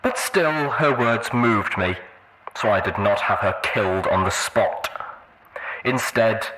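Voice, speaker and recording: A menacing warlord pony acted by a man gives grand, deliberate speeches. The voice projects like an audiobook villain addressing his followers, cool and self-important. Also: lowish voice, heavy background noise. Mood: coolly menacing.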